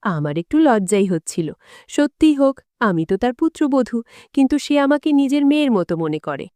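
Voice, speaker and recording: A woman's voice: high-pitched, very animated, moderate pace, dark tone, no room sound. A woman narrating a dramatic first-person story as a storyteller.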